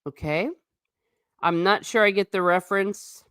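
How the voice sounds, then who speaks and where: A woman's voice: medium pitch, steady tone, a little slow, fairly bright tone, clean tone, no room sound. Chatty woman hosting a dry-sounding livestream. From United States. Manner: chatty, wry and tangential, with frequent restarts. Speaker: livestream host reading chat comments. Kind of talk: casual chat.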